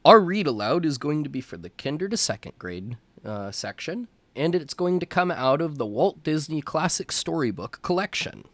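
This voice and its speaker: A man reading a storybook aloud as a teacher for young children, in deliberate phrases. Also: a little high, no room sound.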